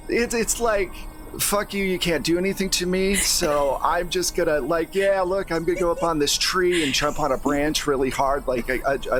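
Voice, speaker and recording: A man's voice: fairly high pitch, a bit bright. A man speaking as game master and host, casually recapping a roleplaying story with playful sarcasm.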